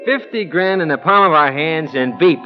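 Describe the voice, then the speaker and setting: Guy doing a shifty little crook, highish voice that jumps all over, slangy, old muffled radio. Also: animated, moderate pace, loud music behind the voice, close to an everyday voice. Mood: sneering. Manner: slangy wisecracking tough talk. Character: shifty, sneering small-time male crook, cowardly underneath. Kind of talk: 1940s radio crime drama acting. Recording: muffled vintage 1949 radio recording.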